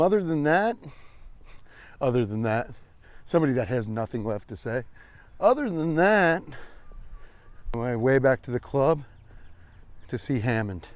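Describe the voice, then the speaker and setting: A man's voice: medium pitch, a little mellow, no echo. An American man chatting casually as he walks, riffing playfully in a loose, repetitive, sweary comedic ramble. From Cincinnati, US. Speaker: vlogger and podcast host. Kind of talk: casual rambling comedic chat while walking.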